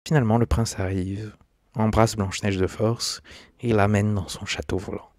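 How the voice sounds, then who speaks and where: A man's voice: lowish voice, fairly even intonation, moderate pace, a little mellow, no room sound, studio quality. A man giving scripted, documentary-style narration as a video essayist.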